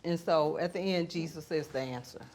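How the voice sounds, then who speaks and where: A woman's voice: low-pitched, brisk, darkish tone. A woman giving conversational testimony as a plaintiff in a television courtroom. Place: TV courtroom studio.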